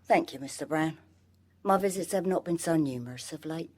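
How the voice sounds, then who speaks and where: A woman's voice: deep voice, fairly quick, darkish tone. An actress playing a fierce, suspicious pie-shop woman and villain's accomplice in a period audio drama, clipped and imperious. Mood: curt.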